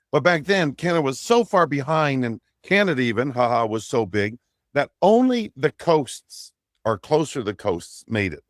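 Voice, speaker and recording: Middle-aged man, Portuguese-native co-host, animated rambling storyteller, dry close sound. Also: mid-range voice, dry recording. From Brazil. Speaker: podcast co-host. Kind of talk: casual chat, storytelling.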